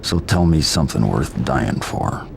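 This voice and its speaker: An actor playing a grim, curt male vigilante in a video game, terse and deadpan with a gravelly voice. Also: rumbling low, measured pace, a little hiss or hum, exaggerated voice. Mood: cold, demanding. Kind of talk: video game cutscene acting.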